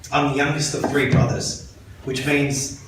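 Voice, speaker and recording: A man in his thirties with an Australian accent, giving a measured, earnest personal talk to an audience as a volunteer speaker, with light self-deprecating humour. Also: medium pitch, level tone, noisy background. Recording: echoey room, speaker far from mic.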